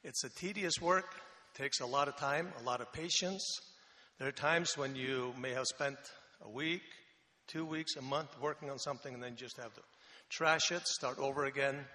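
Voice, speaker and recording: A man in his sixties from the Faroe Islands, speaking, relaxed and joking, with many pauses and fillers as he is interviewed at church. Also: a little high. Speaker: missionary Bible translation consultant. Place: church sanctuary. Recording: reverberant church hall.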